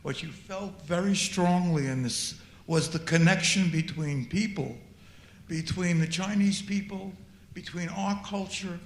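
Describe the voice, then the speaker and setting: A man's voice: high-pitched, fairly even intonation, measured pace. An older man in the audience of a screening Q&A, giving a reflective comment and often repeating words as he searches for them. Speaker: audience member. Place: auditorium after screening. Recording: echoey hall with faint noise.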